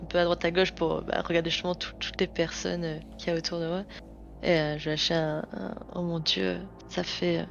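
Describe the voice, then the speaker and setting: A woman's voice: on the low side, flat delivery, quick pace, vocal fry, faint music behind. A woman playing a tabletop game who stammers and repeats words as she describes her character. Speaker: player. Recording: dull lo-fi mic.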